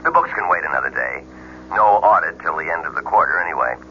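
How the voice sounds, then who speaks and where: An actor playing a kindly, easygoing boss, reassuring and informal over a telephone in a 1970s radio drama. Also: male voice, a bass voice, animated, fast talker, bright, forceful tone, a little hiss or hum, exaggerated voice. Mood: kindly, concerned. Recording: buzzy telephone line in dull radio recording.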